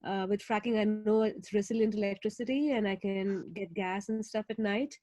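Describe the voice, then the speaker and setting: A woman with an Indian accent recounting a role play on a video call, relaxed and easygoing. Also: mid-range voice, moderate pace, no echo. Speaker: workshop participant. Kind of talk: casual recap on a video call.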